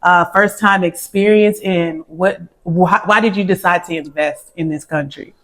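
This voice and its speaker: A woman's voice: on the low side, measured pace, a bit bright. A woman hosting a casual interview, asking questions and encouraging with short affirmations. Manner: encouraging, lots of "mm-hmm" and "nice". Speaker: interviewer, host.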